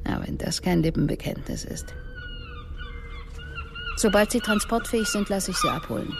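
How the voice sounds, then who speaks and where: A woman's voice: medium pitch, huge pitch swings, normal speed, heavy background noise. Sardonic female detective, swooping intonation. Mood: accusing, sardonic. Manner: needling, sarcastic, rhetorical questioning. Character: sardonic, menacing female police detective interrogating suspects. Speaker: police investigator. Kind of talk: radio drama acting.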